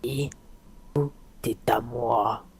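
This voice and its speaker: A man's voice: medium pitch, fairly even intonation, very slow, over loud music. A man playing a bossy, menacing orc trainer in a comic fantasy role-play, curt, vulgar and mocking. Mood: threatening, mocking. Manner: gruff, curt, vulgar orders.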